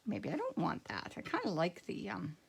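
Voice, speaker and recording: Croaky-voiced woman demonstrating card making. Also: on the low side. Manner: relaxed, rambling, thinks aloud with pauses. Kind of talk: casual craft tutorial.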